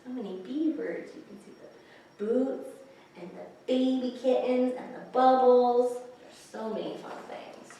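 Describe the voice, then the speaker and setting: A woman reading picture books aloud to young children, pausing to explain the pictures and ask questions. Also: on the high side, warm tone. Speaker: children's librarian, story time host. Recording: echoey, distant microphone, faint hiss.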